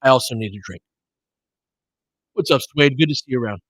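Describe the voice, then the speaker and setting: A man with a mid-pitched voice hosts a live sports stream in a very dry, close recording. He talks casually to his viewers and mixes commentary with chat. His delivery is halting and informal, as though he is thinking out loud. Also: no echo. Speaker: livestream host giving basketball play-by-play.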